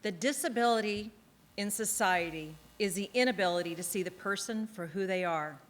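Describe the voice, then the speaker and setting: A woman's voice: lowish voice, fairly bright tone. A woman on the town council delivering an earnest, emphatic prepared speech from a theatre stage at an awards ceremony.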